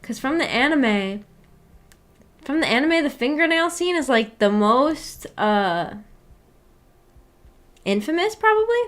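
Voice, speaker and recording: A woman with a somewhat high-pitched voice hosts a casual book club stream. She rambles as she thinks aloud, questioning herself in a puzzled way. Her talk is giggly and informal. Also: very animated, unhurried, pauses often, a bit bright. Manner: giggly, laughs often while talking. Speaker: streamer hosting a visual novel book club. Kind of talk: casual livestream chat reacting to a story.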